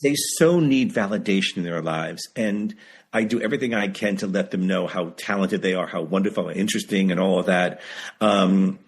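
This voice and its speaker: A man's voice: deep voice, normal speed, slightly rough. A seventy-year-old man talking candidly as an interview guest, emphatic and repeating phrases for stress. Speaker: guest, author and writing teacher. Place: video call.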